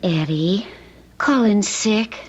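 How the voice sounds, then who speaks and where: A policeman's worried wife in a vintage radio play. She is caring and coaxing, a little hesitant, with a medium-pitched voice. The sound is dull, like an old broadcast. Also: slow pace, a little mellow, breathy edge. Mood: evasive, uneasy. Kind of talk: old-time radio drama acting.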